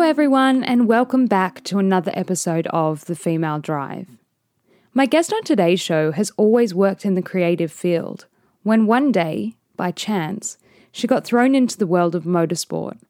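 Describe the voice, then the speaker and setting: A woman hosts a casual podcast interview over a video call. Her voice sits at a middle pitch and sounds dry and close, with no room around it. She chats in a friendly way and laughs easily. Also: darkish tone, no room sound. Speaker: podcast host.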